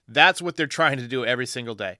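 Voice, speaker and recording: A man's voice: mid-range voice, quick pace, sharp tone, no room sound. A man hosting a podcast, answering fan voicemails with emphatic, opinionated sports talk. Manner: emphatic, argumentative.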